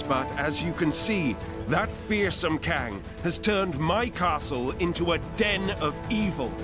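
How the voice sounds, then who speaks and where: An earnest, noble knight from a video game, deposed and courtly. His voice sits fairly high and his pacing is slow and measured. His speech is formal and archaic, with clear music behind him. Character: earnest noble medieval knight king, pleading for his kingdom. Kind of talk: theatrical archaic video game cutscene acting.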